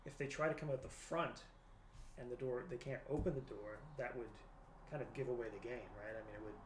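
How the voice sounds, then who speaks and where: A man's voice: medium pitch, steady tone, brisk. A man talking casually as he reasons through a tabletop game with a group. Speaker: tabletop roleplaying game player. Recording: roomy echo, faint background noise.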